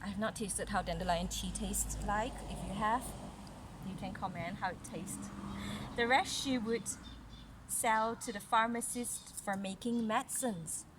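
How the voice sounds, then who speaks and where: A woman reading a fairy tale aloud to children in an instructive way, pausing for chatty comments and questions. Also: medium pitch, fairly even intonation. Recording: noisy home recording.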